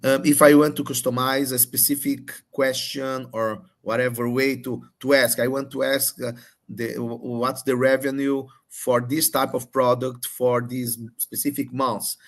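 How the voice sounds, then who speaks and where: A man moderating a live Q&A, putting questions in a halting way as he searches for words and rephrases. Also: medium pitch, little pitch movement. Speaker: moderator relaying audience questions.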